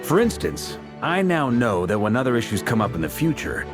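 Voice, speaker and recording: A man voicing a courteous, earnest strategist in a video game, speaking politely and deliberately with a thoughtful, slightly naive air. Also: mid-range voice, very animated, lightly acted. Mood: musing. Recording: game music behind the voice.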